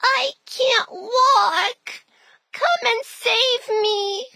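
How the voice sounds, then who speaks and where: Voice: extremely high pitch, extremely slow, creaky, pushed and bright, no room sound, exaggerated voice. A performer voicing a cute, eager little cartoon animal child in a children's game, speaking in simple child-directed phrases. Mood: pleading.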